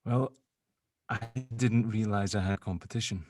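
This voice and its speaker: A man's voice: lowish voice, fairly even intonation, normal speed, a little mellow, dry recording, studio quality, natural voice. A man playing a sardonic, joking sceptic in an audio drama table read, bantering informally. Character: sardonic, sceptical spirit-dealing grave opener, gently joking.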